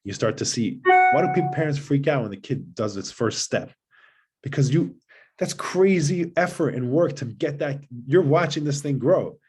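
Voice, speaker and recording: A man, a father of seven, guesting on a podcast and talking earnestly in long rushing runs of advice. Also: medium pitch, animated, a little mellow, slightly rough, soft background music. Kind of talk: conversational advice.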